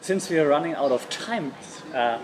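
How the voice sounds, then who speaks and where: A male host talks in a loose, rambling way, often stopping to repeat himself. He is a university lecturer in easygoing conversation. Steady crowd chatter sits behind his voice. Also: fairly high pitch, normal speed. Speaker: podcast host. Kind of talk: casual chat.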